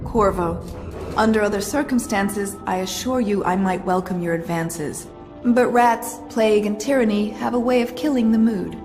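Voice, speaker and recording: An actress playing a prim, exasperated young governess in a video game, crisp, proper and indignant. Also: female voice, mid-range voice, moderate pace, natural voice. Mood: indignant, disgusted. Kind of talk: dramatic game cutscene dialogue. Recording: long reverb under loud music.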